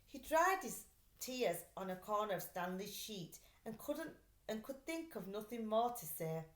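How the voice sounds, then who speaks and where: Slow, lively woman reading a children's story. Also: mid-range voice. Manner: animated, deliberate storytelling delivery. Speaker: teacher reading a storybook aloud. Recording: roomy, echoey sound.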